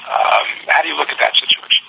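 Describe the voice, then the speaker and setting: A man with a gravelly, raspy voice hosting a conference call and relaying questions conversationally in halting phrases. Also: sharp tone, poor audio. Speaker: host moderating a conference call. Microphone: telephone conference call.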